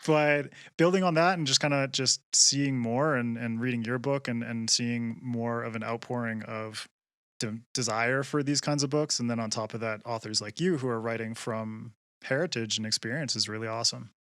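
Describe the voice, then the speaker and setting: A man's voice: mid-range voice, normal speed, fairly bright tone, smooth, no echo. A male podcast co-host with a mid-range voice runs through plugs and questions a guest. He is friendly and keen, with a few restarts, and his voice sounds close and dry. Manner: friendly, enthusiastic, some stammering restarts. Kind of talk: podcast host reading intro, then interviewing. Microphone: video call.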